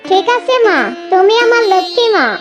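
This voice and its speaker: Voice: extremely high pitch, moderate pace, over music. A performer voicing an emphatic, theatrical fox villager in a children's cartoon. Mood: tender. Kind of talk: Bengali children's cartoon dubbing.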